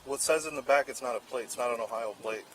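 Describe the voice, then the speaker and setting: A man's voice: mid-range voice, very fast, a bit bright, rough recording. A male police officer at a traffic stop, polite but firm. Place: outdoors, roadside.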